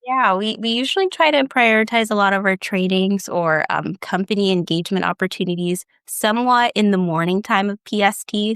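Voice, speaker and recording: A woman in HR giving clear, organized answers in an interview. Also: medium pitch, a bit bright, no room sound, studio quality. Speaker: HR guest.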